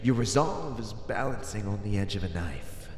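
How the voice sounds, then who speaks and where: Villain warlord, male actor for ASMR roleplay: condescending, coldly persuasive, unhurried delivery with big swings in pitch, reverberant hall. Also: lively intonation, lightly acted. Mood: taunting, knowing. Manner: deliberate, menacing, condescending, theatrical. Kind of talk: ASMR roleplay, dramatic monologue. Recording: echoey hall reverb, faint noise.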